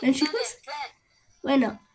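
A woman with a Latin American Spanish accent voicing squabbling object characters in an animated cartoon fan dub. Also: high voice, takes it slowly, crowd noise, heavily acted. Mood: bickering.

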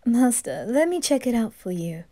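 A woman voicing a soft-spoken, devoted servant, gentle and deferential, in an anime fan audio drama. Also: on the high side, big pitch swings, normal speed, no room sound, voice pushed down. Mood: hushed, gentle.

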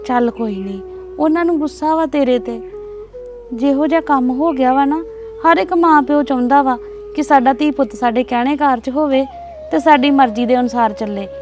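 A woman's voice: sits high, moderate pace, darkish tone, close to an everyday voice. A woman acting a caring older sister who comforts and advises in a soothing, firm way. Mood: consoling. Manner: soothing, pleading, then firm advice. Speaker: actor. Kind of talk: scripted drama acting. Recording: distant mic with faint music.

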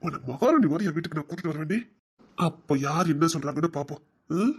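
A performer as a husky, coaxing young lover. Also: mid-range voice, animated, fast talker. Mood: pleading, insistent. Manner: soft, hushed, coaxing. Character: possessive, tender young man, determined lover. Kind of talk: audio romance drama.

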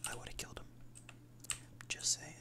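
An ASMR channel host whispering rambling, self-deprecating commentary while playing a shooter game, voice raspy from the late hour. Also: moderate pace.

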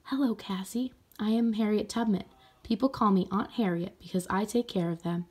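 A woman voicing a gentle, solemn aunt who guides a child in a storybook, soft and measured. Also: medium pitch, slightly rough, close to an everyday voice.

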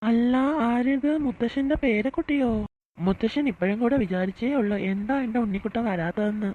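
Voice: mid-range voice, brisk, close to an everyday voice. A performer voicing a doting, conspiratorial old grandfather in a cartoon skit, indulgent toward a grandchild. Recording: thin, phone-like narrow sound.

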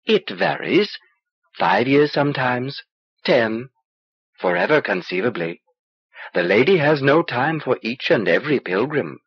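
A man reading an audiobook as a small, knowing alien wizard, dry, measured and cryptic. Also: medium pitch, big pitch swings, breathy edge, dry recording, heavily acted. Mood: dry, discouraging. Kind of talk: audiobook character dialogue. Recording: dull old cassette transfer.

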